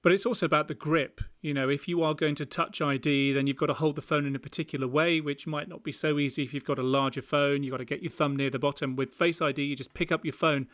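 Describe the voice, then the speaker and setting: A man's voice: on the high side, steady tone, fast talker, few pauses, a bit bright, dry recording, studio quality. A British man co-hosting a casual podcast, chatting about technology. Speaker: podcast co-host. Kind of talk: casual tech podcast chat.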